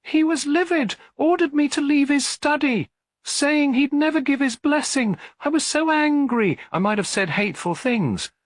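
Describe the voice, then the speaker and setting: A man's voice: very high voice, expressive, moderate pace, no room sound, studio quality, pitched above the performer's own voice. A man voicing an anxious, guarded English daughter of twenty in a detective audiobook, emotional and defensive. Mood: anguished.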